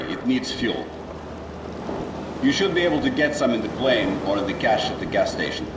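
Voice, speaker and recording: A man's voice: medium pitch, lightly acted. A man playing a terse survivor guide in a post-apocalyptic video game, giving instructions. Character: gruff adult male survivor guide in post-apocalyptic Metro. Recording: roomy, distant, clearly noisy game audio.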